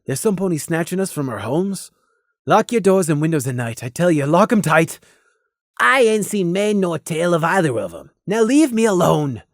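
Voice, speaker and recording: A man's voice: a little high, sing-song, moderate pace, no echo, studio quality, voice pushed up. A man voicing anxious small-town pony residents in a fretful, folksy manner.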